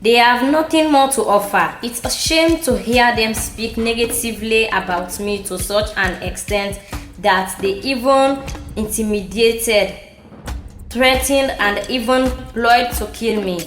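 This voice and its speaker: A woman acting a high-voiced, proud Sierra Leonean candidate. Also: measured pace, lightly acted. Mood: indignant. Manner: declamatory, emphatic oratory. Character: proud, determined woman chieftaincy candidate rallying her people. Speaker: actor in an audio drama. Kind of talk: amateur audio drama political speech. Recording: roomy echo with music underneath.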